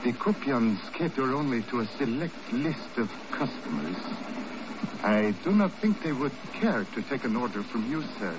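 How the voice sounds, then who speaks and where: An actor playing a menacing, falsely polite villain with formal, insinuating speech in 1950s radio drama. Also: male voice, medium pitch, very animated, lightly acted. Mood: icily polite. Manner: over-formal, insinuating, deliberate. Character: smooth, menacing, mock-courteous foreign tobacco magnate villain. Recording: noisy, muffled old radio recording.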